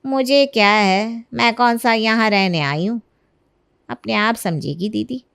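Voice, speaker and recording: A woman's voice: fairly high pitch, measured pace, creaky, fairly bright tone, smooth. Woman putting on a jealous, sarcastic griping aunt. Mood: resentful muttering. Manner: sarcastic, complaining. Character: jealous, sarcastic middle-aged aunt-in-law, grumbling and petty. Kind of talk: acted Hindi storybook narration.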